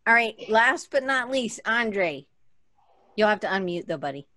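A woman's voice: mid-range voice, expressive, a little slow, sharp tone, no echo. A playful woman hosts a group video call, and her voice swoops up and down as she jokes. The sound is dry and close, with no room around it. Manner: playful, joking, bouncy. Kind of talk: casual chat.

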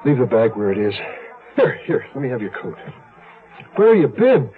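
An actor playing a lovesick, intense man in a melodramatic 1970s radio drama, halting and fervent. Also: medium pitch, big pitch swings, close to an everyday voice. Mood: fervent, tender. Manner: halting, fervent, repeating himself. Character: lovesick, intense man reunited with his lover. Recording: muffled, hissy vintage radio recording.

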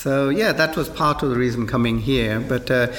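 A middle-aged man from East Africa, a guest in an interview, speaking in a reflective, unhurried way with frequent fillers and restarts. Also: medium pitch, fairly bright tone, roomy sound, slight background noise. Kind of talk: conversational interview.

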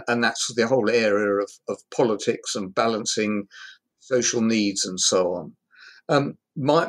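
A man as an interview guest, speaking in a measured, thoughtful way with frequent pauses and fillers. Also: medium pitch. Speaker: hospitality industry guest expert. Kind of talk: conversational interview.

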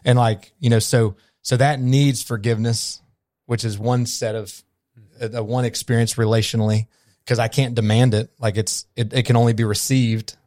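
Man, podcast guest; earnest, thoughtful delivery with restarts, mid-pitched voice, dry booth sound. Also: flat delivery, moderate pace, a little mellow, dry recording. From Memphis, USA. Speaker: guest, coach. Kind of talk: reflective podcast conversation.

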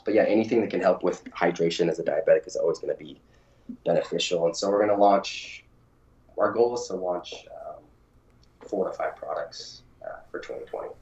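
A man with a cold and a raspy, froggy voice, explaining things casually as a podcast guest. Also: sits low, brisk, a little mellow. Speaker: podcast guest, company founder. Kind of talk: casual interview chat. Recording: echoey, dull audio.

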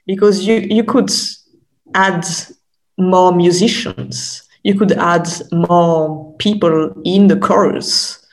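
Hesitant woman academic, gravelly creak. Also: low-pitched, poor audio. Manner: halting, repeats words while searching for phrasing. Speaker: academic expert guest. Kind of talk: explanatory interview conversation.

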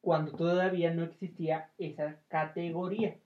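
A woman's voice: fairly low pitch, flat delivery, moderate pace, a little hiss or hum. A woman with a Mexican accent chatting informally and playfully as a podcast host, retelling stories and trivia. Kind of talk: casual chat retelling film trivia and tales. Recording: dull, lo-fi audio.

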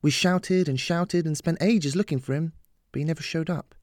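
A man's voice: a little high, normal speed, a little mellow, no echo, clean studio sound, voice pushed up, close to an everyday voice. A man voicing an earnest, shaken young girl in audiobook character dialogue. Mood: somber, earnest.

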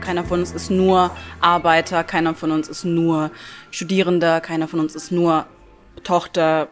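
A woman's voice: lowish voice, level tone, normal speed, faint music behind. Thoughtful young woman from near Stuttgart. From Germany, near Stuttgart. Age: 20s. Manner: thoughtful, with fillers and restarts. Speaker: guest, psychology student. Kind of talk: interview answers.

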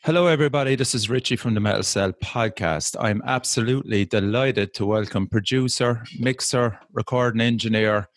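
A man's voice: medium pitch, a little fast, hardly any pauses, no echo. A man hosting a music podcast, talking with enthusiastic, effusive praise. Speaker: podcast host. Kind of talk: music podcast talk and interview.

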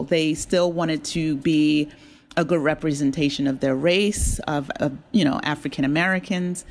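A woman's voice: deep voice, a little mellow. A woman speaking as an interview guest, conversational, earnest and explanatory. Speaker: interview guest, audio drama writer.

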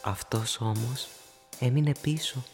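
An actress giving an eerie narrating voice in a radio play, speaking slowly and in hushed tones. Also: female voice, a bass voice. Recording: loud music under the voice.

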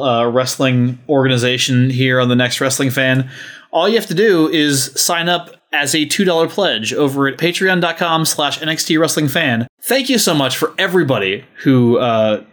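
A man's voice: fairly high pitch, fairly bright tone. A man hosting a podcast, chatting casually and making announcements. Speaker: podcast host.

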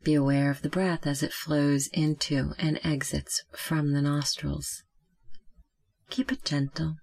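Calm, even-toned meditation guide with creaky voice. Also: mid-range voice. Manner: gentle, calm, measured with long pauses. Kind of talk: guided meditation, slow scripted instruction.

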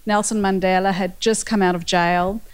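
A woman's voice: mid-range voice, fairly even intonation, normal speed, clear, resonant tone. A woman in her forties with a South African accent, speaking earnestly as a panel guest and sharing personal reflections.

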